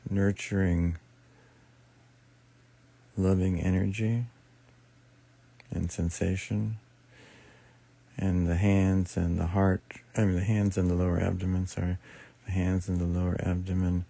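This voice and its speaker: Slow, low-pitched man guiding meditation. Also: vocal fry, dark tone. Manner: soothing, unhurried, with long pauses between short phrases. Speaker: meditation teacher. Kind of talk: guided meditation, improvised soft instruction.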